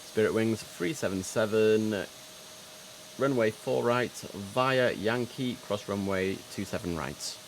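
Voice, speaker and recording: Male game streamer, low voice, chatty between controller instructions, noticeable noise behind him. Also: slow. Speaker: streamer playing air traffic controller. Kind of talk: casual live game commentary mixed with radio instructions. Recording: steady background noise.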